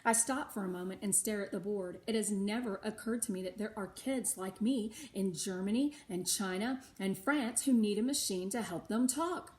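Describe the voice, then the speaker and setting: A middle-aged or older woman reading a storybook aloud to schoolchildren, animated, with chatty asides of her own. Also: mid-range voice, moderate pace, hardly any pauses. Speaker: read-aloud host for schoolchildren.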